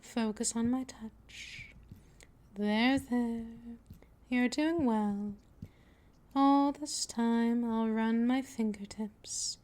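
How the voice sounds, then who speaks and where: A woman's voice: a little high, extremely slow, a little mellow. A woman playing a patient, possessive vampire mistress in a scripted ASMR roleplay, speaking in a coaxing, soothing, dominant manner that often drifts toward a whisper. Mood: soothing, hypnotic.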